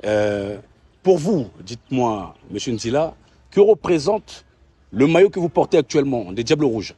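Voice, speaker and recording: A man's voice: mid-range voice, very animated, normal speed, dark tone, breathy edge, no echo, distant mic. A young man asking polite, formal interview questions as the interviewer, speaking outdoors. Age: young adult. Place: outdoors by a hotel pool.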